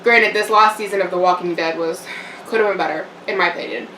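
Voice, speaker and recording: A woman's voice: lowish voice, steady tone, quick pace, bright, forceful tone, slight background noise. A young woman chatting casually while eating on camera, rambling with fillers and little laughs. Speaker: vlogger. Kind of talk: casual eating-show chat. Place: home room, eating on camera. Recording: echoey room, mic a bit far off.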